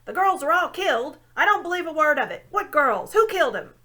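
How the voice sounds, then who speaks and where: A woman voicing a deaf, grumpy old male dwarf lord in an audiobook, loud, irritable and barking. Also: high-pitched, sing-song, a little fast, voice pushed up, heavily acted. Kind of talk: audiobook reading.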